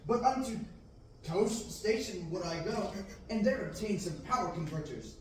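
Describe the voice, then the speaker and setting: A man's voice: high-pitched, animated, moderate pace, a little mellow. A young adult man reading a mock-Shakespearean script aloud as an amateur at a table reading. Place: large indoor rehearsal room, far camera. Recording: distant camera in echoey noisy room.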